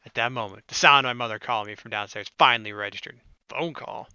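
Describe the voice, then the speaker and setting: A man's voice: mid-range voice, quick pace, pushed and bright, clean tone, no echo, lo-fi sound. A man reading a visual novel aloud as a let's play host, theatrical and mixing in casual joking commentary.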